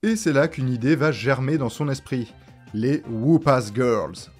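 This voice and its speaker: A man's voice: medium pitch, lively intonation, measured pace, faint music behind. A man presenting a scripted comedic video essay in a sarcastic, informal way, as a YouTuber.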